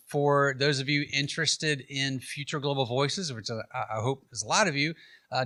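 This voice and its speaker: A man's voice: mid-range voice, pushed and bright, dry recording. A man hosting a lunchtime talk, making announcements and asking interview questions in a friendly, informal way with frequent fillers. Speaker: event host, interviewer.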